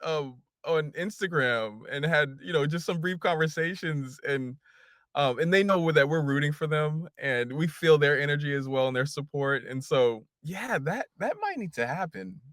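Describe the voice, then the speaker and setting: A man, a singer, guesting on a podcast, chatting warmly and gratefully and laughing often. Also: fairly high pitch, animated, normal speed, no room sound, studio quality. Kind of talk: casual interview chat.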